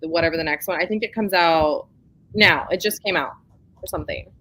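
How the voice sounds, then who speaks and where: A woman's voice: fairly low pitch, fairly quick, a bit bright, poor audio. A woman chatting casually and informally about romance novels as a co-host of a book club show.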